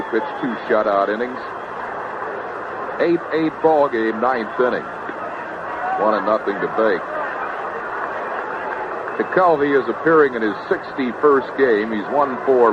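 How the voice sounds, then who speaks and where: An American man calling baseball play-by-play in clipped, terse phrases. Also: mid-range voice. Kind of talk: live sports commentary. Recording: noisy, dull 1970s radio broadcast tape.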